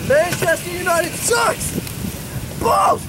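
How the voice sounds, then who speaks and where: A young man in loud, goofy, taunting banter, heckling passers-by outdoors on a beach. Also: very high voice, slow. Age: young adult. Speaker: prankster in a vlog. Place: open sandy beach by a bike path. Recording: outdoor camera, loud beach and wind noise.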